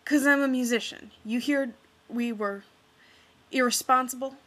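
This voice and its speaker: A woman's voice: high voice, unhurried, a bit bright, voice pushed up. Woman putting on a drawling old bluesman. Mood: coaxing. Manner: drawling dialect, coaxing.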